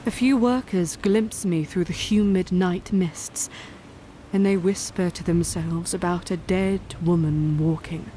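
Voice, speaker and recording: A woman performing a cold, vengeful witch who narrates her own dark tale in a deliberate, intense, dramatic way. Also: fairly low pitch, a little hiss or hum, close to an everyday voice, low-quality recording. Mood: eerie, triumphant. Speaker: story narrator. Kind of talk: dramatic first-person scripted narration.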